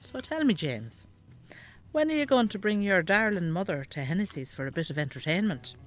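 A woman playing an elderly, nagging, devout Irish mother in a radio drama, sharp-tongued and scolding. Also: lowish voice, very animated, fairly quick, soft background music. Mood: teasing. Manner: scolding, bossy. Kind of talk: naturalistic radio drama acting.

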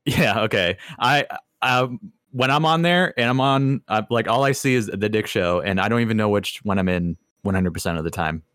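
A man's voice: fairly low pitch, normal speed, fairly bright tone, no room sound, clean studio sound. A man hosting a casual podcast, probing his guest with wry questions and frequent laughs. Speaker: podcast host.

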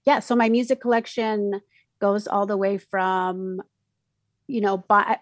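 A woman's voice: mid-range voice, dry recording. Casting: middle-aged radio DJ woman, Mexican Spanish accent, chatty, fillers and restarts, dry close sound. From Mexico City. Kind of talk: casual interview answers.

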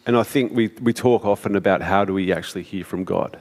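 Encouraging man with a lowish voice, church echo. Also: fast, a little mellow. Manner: encouraging, affirming with frequent yeahs. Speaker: church interviewer. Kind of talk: church interview. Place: church sanctuary.

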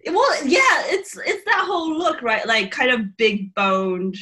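A woman's voice: high-pitched, big pitch swings, unhurried, fairly bright tone. A woman from Taiwan, a podcast guest, chatting casually and laughing often. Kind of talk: casual chat.

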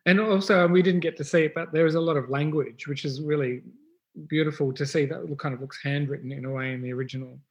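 Man moderating a panel, gentle and hesitant. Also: fairly high pitch, steady tone, fast talker, muffled recording. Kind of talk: casual panel talk.

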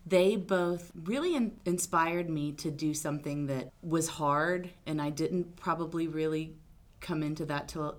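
Very low-voiced, loud talkative woman. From Arkansas. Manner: loud, big personality, talkative. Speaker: attorney guest. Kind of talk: casual interview answers.

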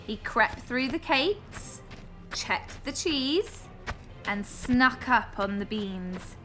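A woman reading a children's storybook as an expressive storyteller. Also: a little high, extremely slow. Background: music.

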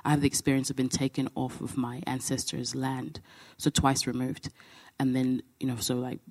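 A man's voice: medium pitch, level tone, normal speed, a little mellow. A young man from Zimbabwe asks an earnest, searching question from the audience at a post-show talk, often restarting his thoughts. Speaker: audience member asking a question.

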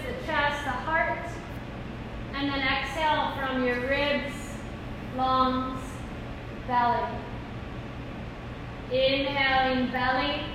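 A high-pitched woman guides a group through yoga and slow breathing, loud and encouraging in a casual way. Her slow cues ring through a big reverberant hall, picked up from far away over steady background noise. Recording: echoey hall from far off, noisy.

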